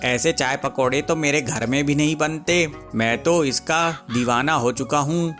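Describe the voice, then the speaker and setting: A man's voice: a little high, a little slow, fairly bright tone, soft background music. A man voicing a delighted male bird customer in a cartoon story, gushing with praise.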